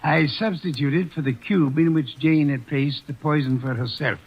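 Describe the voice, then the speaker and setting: An actor in a 1940s radio drama playing a calm, superior male detective who lectures in measured, dryly amused tones. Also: on the high side, breathy edge. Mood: explaining. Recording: dull old radio transcription.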